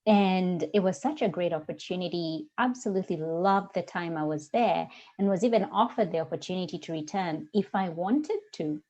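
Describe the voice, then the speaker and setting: A woman's voice: lowish voice, expressive, moderate pace, hardly any pauses, warm tone. A woman in her forties from Kenya, with a Kenyan accent, talking as a guest in a casual interview. She speaks reflectively and searches for her words, with frequent ums. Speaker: guest, diversity coach. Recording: dull lo-fi audio.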